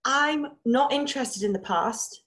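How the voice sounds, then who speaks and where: Woman acting a slow, earnest, pleading daughter. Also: high voice, fairly bright tone. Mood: pleading, tender. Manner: earnest, halting. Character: seriously ill, pleading, practical daughter. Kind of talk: stage drama scene acting.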